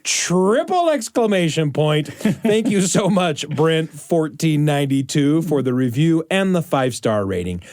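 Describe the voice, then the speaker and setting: A man hosts a family audio podcast with an animated, storytelling delivery. His voice is on the high side and moves widely in pitch. The sound is dry and close. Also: a little slow, dry recording. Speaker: podcast host. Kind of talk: warm-up chat and scripted podcast hosting for families.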